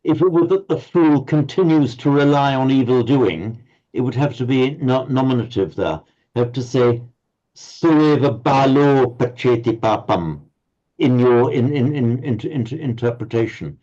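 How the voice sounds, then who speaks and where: A man's voice: mid-range voice, measured pace, dark tone. A man teaching a Pali class online, explaining patiently and often stumbling over repeated words. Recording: slightly muffled audio.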